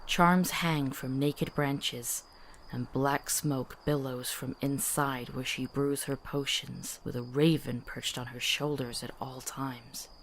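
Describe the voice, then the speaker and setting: A woman's voice: extremely low pitch, steady tone, takes it slowly, slight background noise, low-quality recording. A woman playing a curious, skeptical young apprentice apothecary in a visual novel, with a wry, questioning manner. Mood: sardonic.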